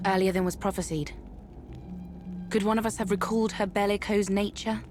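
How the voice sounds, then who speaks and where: An actress playing a stern, solemn ancient guardian spirit in a video game, formal, stately and reproving. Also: female voice, mid-range voice, steady tone, a little fast, close to an everyday voice. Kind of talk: archaic, formal game drama. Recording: music playing behind the voice.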